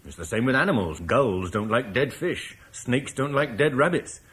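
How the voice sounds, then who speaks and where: An actor playing a blunt, forceful military commander from Cornwall who scorns Rome, in a classic radio drama. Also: male voice, mid-range voice, expressive, moderate pace, breathy edge. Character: blunt British war leader, scornful of Rome.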